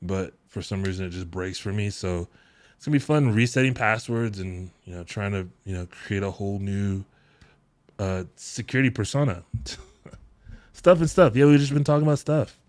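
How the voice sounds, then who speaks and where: A man in his thirties hosting a live tech-deals stream from his home setup, chatting in a relaxed, rambling way with frequent chuckles into a close boom mic. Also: normal speed, no echo. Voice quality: deep voice. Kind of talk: casual live-stream chat. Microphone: boom mic close to mouth, headphones on.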